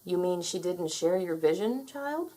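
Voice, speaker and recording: A woman voicing a patient, wry male magic dragon guide in a children's storybook, theatrical and gently teasing. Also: on the low side, lively intonation, a little slow. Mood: gentle, encouraging. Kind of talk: children's storybook character read aloud.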